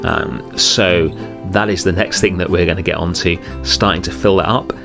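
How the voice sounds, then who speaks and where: A young man hosting his game channel, calmly talking through a build in an easygoing way with the odd um and uh. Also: deep voice, normal speed, gravelly. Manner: easygoing, steady, with frequent ums and uhs. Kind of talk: relaxed build commentary over gameplay. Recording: music playing underneath.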